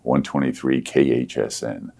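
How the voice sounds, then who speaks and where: Male, 60s, bottomless bass with heavy fry. Easygoing storyteller, casual interview guest. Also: normal speed, a little mellow. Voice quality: very deep radio voice. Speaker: interview guest, veteran themed entertainment creative. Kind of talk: casual reminiscing in a live interview.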